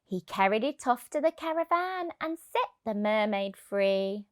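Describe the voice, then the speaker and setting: A woman reads a rhyming picture book for young children. Her voice is fairly high and very lively in pitch, and she takes it slowly, in an expressive storytelling style.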